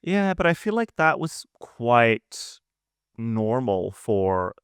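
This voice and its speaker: A man's voice: mid-range voice, big pitch swings, takes it slowly, a bit bright, clear, resonant tone, no room sound, studio quality. A man co-hosting a podcast, giving his views in casual conversation. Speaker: podcast co-host. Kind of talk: casual opinionated chat.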